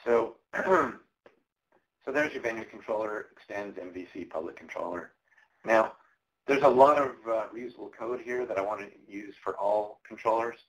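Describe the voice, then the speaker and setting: Man, fairly low voice, hoarse, tech demo presenter, relaxed step-by-step explaining. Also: normal speed, muffled recording. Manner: casual, explanatory, frequent ums and uhs. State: losing his voice. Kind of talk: live coding walkthrough. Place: conference presentation.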